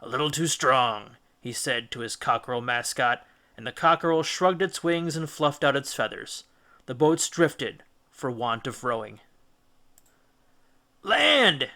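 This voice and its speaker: A man reading a children's classic as a storyteller, with expressive, deliberate, dramatic narration. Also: medium pitch. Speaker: audiobook reader, storyteller.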